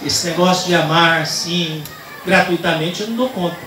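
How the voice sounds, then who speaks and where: A man's voice: a little high, moderate pace, distant mic, noisy background. A man with a Brazilian Portuguese accent, a priest giving an earnest homily that builds to emphatic points at an outdoor night Mass under a tent. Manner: earnest storytelling, rising to emphatic. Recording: roomy sound over a loud crowd.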